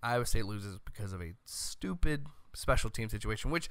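Animated American man, loud and opinionated. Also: lowish voice, normal speed, no room sound. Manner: loud, emphatic, exasperated ranting. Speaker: host of a college football show. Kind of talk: opinionated sports commentary to camera. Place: small room at home. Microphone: close studio mic on a boom arm.

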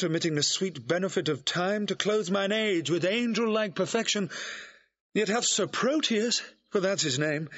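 High-voiced, witty young gentleman in love. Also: husky, muffled recording. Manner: courtly, quick-witted verse delivery. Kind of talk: classical Shakespearean audio drama acting.

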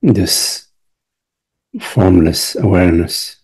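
A man leads a guided meditation in a very husky, breathy voice with a dark, relaxed tone. He speaks very slowly and gently, in short hushed phrases with long pauses left between them. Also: sits low. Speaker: meditation teacher.

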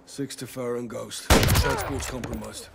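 An actor playing a gruff, hot-tempered British special forces captain in a video game, terse, profane and commanding, with a gravelly voice. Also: male voice, medium pitch, wildly swooping pitch, moderate pace, heavy background noise. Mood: urgent, commanding.

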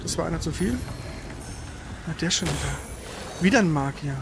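A man's voice: a little high, animated, moderate pace, background noise. A man doing casual live gameplay commentary with a co-player, exclaiming, grumbling and swearing as he goes. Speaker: gaming streamer playing and commenting.

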